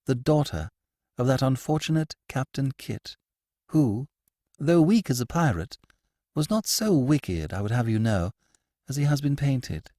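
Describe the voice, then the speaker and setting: A man's voice: mid-range voice, lively intonation, measured pace, mellow, no room sound, studio quality, voice pushed up, natural voice. A man reading a story, voicing a veiled, agitated noblewoman with a piercing, urgent and imperious manner. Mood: fervent. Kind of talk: audiobook character voice.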